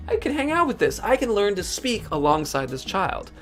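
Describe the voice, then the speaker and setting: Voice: mid-range voice, big pitch swings, normal speed, fairly bright tone. A storyteller voicing a small, determined young dog who talks earnestly and hopefully, with faint music behind. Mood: eager.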